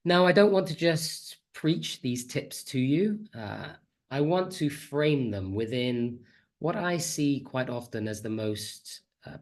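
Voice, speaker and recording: A man with a slight cold giving a chatty, friendly online conference talk as a teacher trainer, on a computer mic over a video call. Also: medium pitch. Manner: friendly, chatty, self-deprecating.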